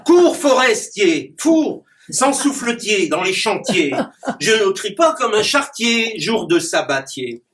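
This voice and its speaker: High-voiced man reciting comic verse. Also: big pitch swings, takes it slowly, a bit bright. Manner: playful wordplay, chuckles at his own puns. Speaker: clown and poet. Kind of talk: reciting comic rhyming poems to a small gathering. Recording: distant mic with background noise.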